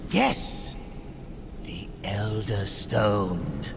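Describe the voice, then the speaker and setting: A creaky, scheming demon merchant who relishes every word. His theatrical pitch swoops wildly in a cavernous reverb, a game villain with music behind. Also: sing-song, noisy background, exaggerated voice. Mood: excited. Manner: theatrical, drawn-out, relishing words. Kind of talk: video game voice acting.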